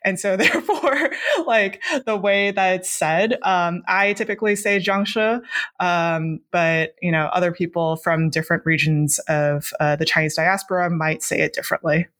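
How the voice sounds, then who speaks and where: A woman's voice: fairly low pitch, moderate pace, a bit bright. A young woman from Hong Kong whose first language is Cantonese, talking casually about her work as a game designer, with lots of fillers and restarts. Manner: chatty, many fillers and restarts. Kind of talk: casual interview conversation.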